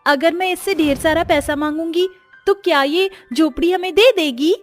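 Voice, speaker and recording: A wide-eyed village daughter acted by a woman talks excitably in a very high voice. She is a poor girl in a dramatised moral tale, with music behind. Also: normal speed. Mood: amazed, hopeful.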